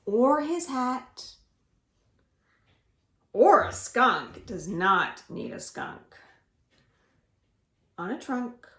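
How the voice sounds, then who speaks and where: A woman with a fairly high voice hosts storytime for young children. She reads very slowly and deliberately, leaning on words for dramatic emphasis. The room around her echoes noticeably. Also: very animated, a bit bright. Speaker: library storytime host. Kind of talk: expressive children's read-aloud and singalong. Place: indoors at home. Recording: roomy, echoing home recording.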